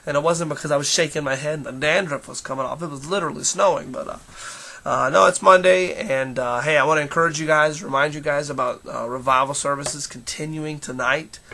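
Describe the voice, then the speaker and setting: Hoarse, bright-voiced man, gravelly edge. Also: on the high side, steady tone, a little fast. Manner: earnest, encouraging, with many restarts and fillers. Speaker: church leader giving a daily video devotional. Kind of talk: casual devotional vlog.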